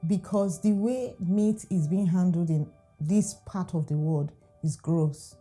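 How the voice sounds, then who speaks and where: A woman's voice: fairly low pitch, unhurried, dark tone, soft background music. A Nigerian woman whose first language is Igbo, chatting animatedly to camera about food safety and laughing often. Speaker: food vlogger. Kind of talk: casual talk to camera.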